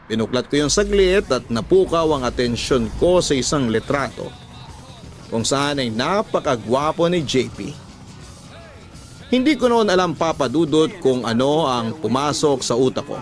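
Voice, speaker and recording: A Filipino man tells a story in the manner of a radio drama, confiding in the listener. His pitch is mid-range for a man, and music plays under his voice. The delivery is scripted first-person narration. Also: lively intonation, normal speed. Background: background music.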